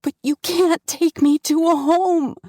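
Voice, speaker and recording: A woman's voice: fairly even intonation, takes it slowly, dark tone, no echo, studio quality. A woman doing a desperate pleading teenage girl. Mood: begging. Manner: pleading, tearful, high-pitched.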